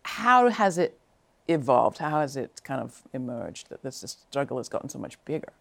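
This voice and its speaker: A woman's voice: very deep voice, big pitch swings, normal speed, vocal fry. A woman hosting an interview, introducing guests and asking follow-up questions in an engaged, conversational way, often saying "I mean.". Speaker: host.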